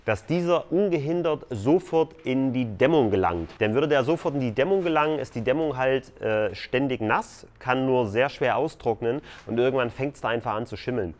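A man's voice: mid-range voice, moderate pace, hardly any pauses. Man casually explaining a build. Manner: explaining step by step, loose and conversational. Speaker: tiny house builder.

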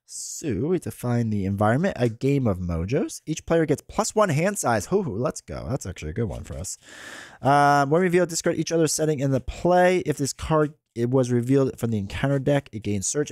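A man in his thirties hosts in a relaxed, rambling way, and his voice is thick and stuffed up from a head cold. His pitch rises and falls a great deal as he chats through a card game, sitting close to a boom mic in a dry-sounding home studio. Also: no room sound. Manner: chatty, rambling with fillers and restarts. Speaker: card game channel host. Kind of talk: casual gameplay commentary. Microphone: boom mic close to the mouth.

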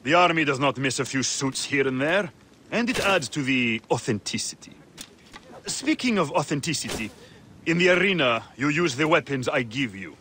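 An actor playing a jaded, crude gladiator arena master in a video game, with a sardonic, theatrical, dismissive way of talking. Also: male voice, mid-range voice, lively intonation, moderate pace, sharp tone, slight background noise. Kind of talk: video game character acting.

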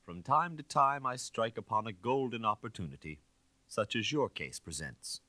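A man voicing a middle-aged, shabby ex-detective in a story, condescending and smoothly persuasive with quiet menace. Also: fairly low pitch, expressive, moderate pace, no room sound, voice pushed up. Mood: smooth insinuation.

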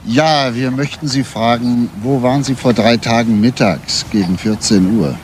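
A composed police detective in a crime radio play , acted by a man. His mid-pitched voice probes methodically and stays matter-of-fact, with clear street-like noise behind it. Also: normal speed, close to an everyday voice.

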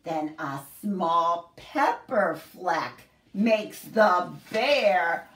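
A middle-aged woman reading a picture book aloud to young children in an expressive, sing-song, deliberate storyteller manner. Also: fairly low pitch, slight background noise. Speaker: children's library story time host. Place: small home room, indoors. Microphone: camera a few feet away. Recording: roomy echo, distant camera microphone.

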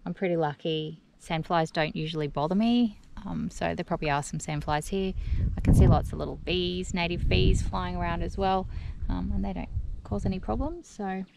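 A young woman chatting in a relaxed, rambling way while she sits outdoors among trees by the water, filming herself at arm's length. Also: on the low side, moderate pace, creaky, background noise. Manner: relaxed, soft, rambling with fillers. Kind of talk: casual vlog narration.

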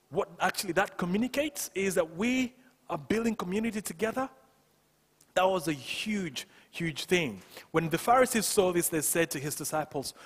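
A male pastor delivers a sermon with emphatic, deliberate phrasing. His voice sits a little high and has a husky grain. It rings with long reverb in a church hall, picked up by a headset microphone. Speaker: pastor, preacher. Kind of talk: sermon to a congregation. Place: church stage, indoors.

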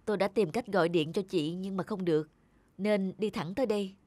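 A woman's voice: medium pitch, fairly quick, no room sound, natural voice. A woman voicing a weary, worried mother. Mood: subdued. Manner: soft, subdued and regretful. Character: worried, hardworking poor mother. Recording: clear background music.

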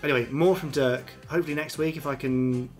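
A man's voice: on the high side, steady tone, fast, soft background music. A man hosting a podcast in casual chat, joking and laughing often. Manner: chatty, jokey, laughs often. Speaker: podcast host.

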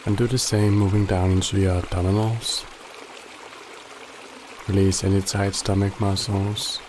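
A man guiding a body scan meditation in a calm, gently instructive way with long pauses. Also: low voice, background noise. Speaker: meditation guide. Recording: loud running water behind the voice. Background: trickling stream water ambience.

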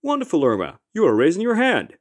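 A man's voice: high voice, huge pitch swings, fast, heavily acted. A man voicing a wry, slightly wicked history teacher in an amateur comic fandub. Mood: sly amusement.

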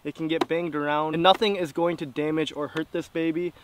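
A man's voice: a little high, level tone, fairly quick, background noise. A young man doing a scripted sponsor read outdoors on a lawn, eagerly pointing out product features to a camera a few feet away. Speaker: sponsor presenter.

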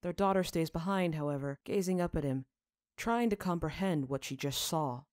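Woman reading a story audiobook style, low voice, really dry close sound. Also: moderate pace, darkish tone, no room sound. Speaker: fan fiction narrator.

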